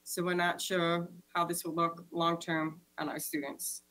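A woman, giving an earnest, measured statement as a school board member over a video call from home. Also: lowish voice, flat delivery, a bit bright, rough recording. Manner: earnest, measured, reads from notes. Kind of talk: prepared statement at a board meeting. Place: home room, indoors.

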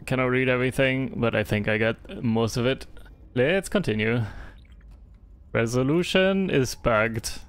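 A young German-accented man talks through a live game stream in a loose, chatty way. He reads viewer messages aloud and answers them with frequent ums and restarts. His voice sits at an ordinary middle pitch. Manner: chatty, reads and answers chat, hesitant fillers. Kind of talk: casual live-stream commentary.

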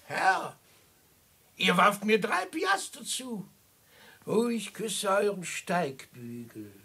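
Shrill, creaky ragged beggar. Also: male voice, very animated, sharp tone, slight background noise, exaggerated voice. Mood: fawning, pleading. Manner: slow, theatrical pleading. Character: ragged crippled beggar, pious, ominous, insistent. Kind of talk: 1950s German radio play acting. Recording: 1950s mono radio recording with music.

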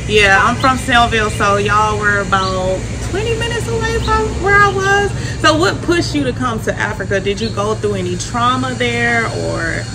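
A woman in her thirties hosting a casual interview on an outdoor cafe patio, chatty and quick to laugh. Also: on the high side, lively intonation. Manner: chatty, laughs easily, uses y'all. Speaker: interview host. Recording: loud outdoor traffic noise. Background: street traffic.

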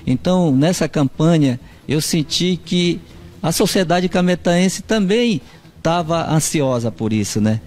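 A man's voice: on the high side, animated, unhurried, darkish tone. A man in his fifties with a northern Brazilian accent answering an interview, repeating himself with frequent tag questions. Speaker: fishers' association president. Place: indoor meeting room. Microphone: handheld reporter microphone.

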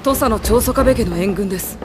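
A woman's voice: mid-range voice, a little fast, natural voice. A woman voicing a polite, resolute young samurai retainer in a video game, formal and earnest. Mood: composed, earnest. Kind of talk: Japanese video game cutscene acting. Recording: music under the dialogue.

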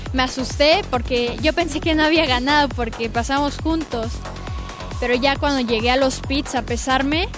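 Earnest young kart racer girl. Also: high-pitched. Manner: earnest, plain-spoken. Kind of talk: answering interview questions. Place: outdoors by a fountain. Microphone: interviewer's handheld mic. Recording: music bed under voices.

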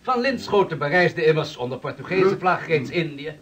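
An actor in a Dutch radio drama playing a learned, insistent seafarer who speaks formally and persuasively. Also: male voice, fairly high pitch, very animated, normal speed, fairly bright tone. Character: insistent, learned sixteenth-century seafarer and explorer.

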